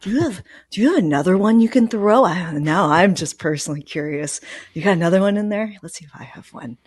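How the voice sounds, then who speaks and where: Woman podcast host, kinda raspy voice, dry close sound, super informal storytelling, says like a lot. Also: fairly low pitch, animated, fast talker, darkish tone, no room sound. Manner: informal, anecdotal, frequent "like". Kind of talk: casual chat.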